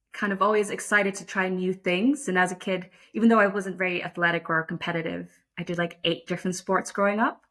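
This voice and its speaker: A woman's voice: fairly low pitch, sharp tone. A woman from the Netherlands, a lacrosse umpire talking thoughtfully and modestly as an interview guest. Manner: thoughtful, self-deprecating, frequent restarts and fillers. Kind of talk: casual interview conversation.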